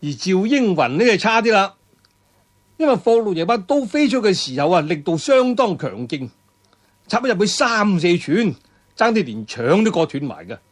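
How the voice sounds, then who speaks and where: A man's voice: high voice, big pitch swings, normal speed. A man with a Cantonese accent narrating a martial arts tale in traditional storytelling style, dramatic and emphatic. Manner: dramatic, emphatic delivery with exclamations and sound words.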